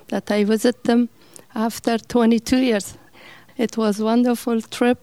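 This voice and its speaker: A middle-aged woman, telling her life story in an interview in halting, simple English with fillers. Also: medium pitch, dark tone, no room sound, low-quality recording. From Afghanistan.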